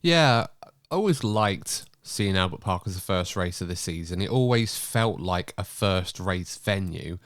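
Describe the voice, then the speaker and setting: A man hosting a podcast, chatty and upbeat, a little croaky from a cold. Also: sits low, lively intonation, a little slow, dry recording, studio quality. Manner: chatty, upbeat, running the show. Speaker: podcast host. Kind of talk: casual podcast chat.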